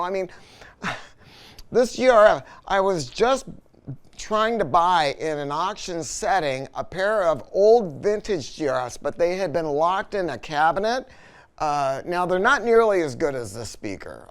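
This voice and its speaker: A man's voice: sits high, clear, resonant tone. A man giving an unscripted product review, telling stories in an emphatic, colloquial way with frequent rhetorical check-ins. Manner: emphatic, colloquial, storytelling with rhetorical tag questions. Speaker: product reviewer and audio dealer. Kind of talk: unscripted sales-style product review talk.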